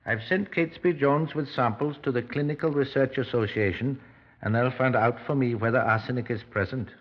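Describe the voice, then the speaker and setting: A muffled vintage radio recording has a man playing a doctor with a medium-pitched voice. He is measured and cautious, firm with his patient, in the manner of 1950s radio acting. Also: natural voice.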